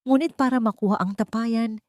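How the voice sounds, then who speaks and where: A woman's voice: fairly high pitch, fairly quick, a little mellow, dry recording, clean studio sound. A woman reading scripted narration in a dramatic storytelling style.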